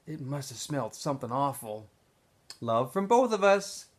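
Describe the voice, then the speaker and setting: Man voicing a gentle, hopeful mother. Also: medium pitch, big pitch swings, normal speed, lightly acted. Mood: fond, hopeful. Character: loving, hopeful mother writing to her son.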